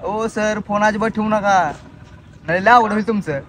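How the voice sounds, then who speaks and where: High-voiced young man, street noise. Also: steady tone, moderate pace, a bit bright. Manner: insistent, repetitive, mock-polite. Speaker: prank caller and video host. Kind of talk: prank phone call, casual confrontational chat.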